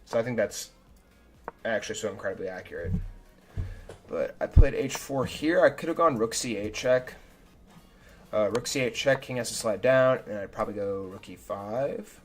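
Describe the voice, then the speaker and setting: A man's voice: on the low side, normal speed, pauses often. Chatty young man breaking down chess moves. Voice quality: slightly raspy. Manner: chatty and self-critical, thinking aloud move by move. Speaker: chess streamer. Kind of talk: casual live commentary reviewing his own game. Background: faint background music.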